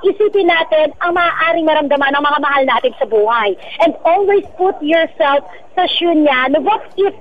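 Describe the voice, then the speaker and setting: Muffled, high-pitched Filipino woman radio host. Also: moderate pace, slight background noise. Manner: playful, giggly, preachy when advising. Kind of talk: casual radio advice with banter.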